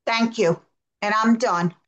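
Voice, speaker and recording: A woman with a high voice asks her question very slowly, choosing her words with care and pausing as she goes. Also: steady tone, fairly bright tone, dull audio. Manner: thoughtful, hesitant phrasing. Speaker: participant asking a question.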